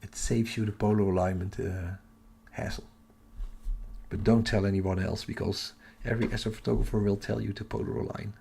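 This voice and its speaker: Hushed, creaky-voiced man livestreaming. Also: fairly even intonation, a little fast. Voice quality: hushed, half-whispered. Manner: keeping voice low for sleeping household. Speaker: astronomy livestream host. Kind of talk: casual live chat with viewers.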